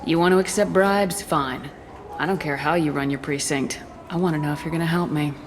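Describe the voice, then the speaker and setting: A woman's voice: low voice, fairly even intonation, fairly quick, background noise, lightly acted. An actress playing a hard-nosed female military commander in a video game, speaking bluntly and tersely. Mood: stern, commanding.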